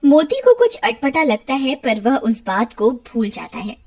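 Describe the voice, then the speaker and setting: A woman's voice: on the high side, very animated, unhurried, a little mellow. A woman narrating a scripted cartoon horror story.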